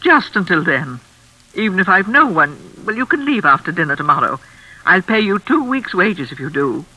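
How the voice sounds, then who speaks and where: Casting: unhinged older lady of the manor, honeyed menace, swooping delivery, sharp bright tone, crackly old radio drama. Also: lowish voice, big pitch swings, husky, exaggerated voice. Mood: pleading. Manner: theatrical, honeyed and insistent, with deliberate repetition. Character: menacing, unhinged older mistress of a crumbling hall. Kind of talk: classic radio suspense acting.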